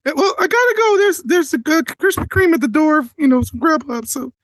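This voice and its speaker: Shy, stammering high-voiced male caller. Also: brisk, no room sound. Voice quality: soft sweet voice. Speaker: call-in listener. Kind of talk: comic call-in bit.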